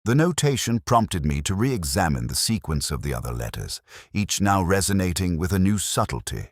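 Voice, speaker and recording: Male actor, cerebral detective, extremely low voice, contemplative scripted mystery narration, measured literary delivery, dry close sound. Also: big pitch swings, no echo.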